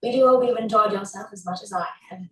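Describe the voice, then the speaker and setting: A woman in her twenties, an actress, playfully running through a rapid string of English accents in a played clip. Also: mid-range voice. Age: 20s. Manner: switches between many English accents, playful. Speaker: actress doing an accent showcase. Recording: roomy sound from a distance.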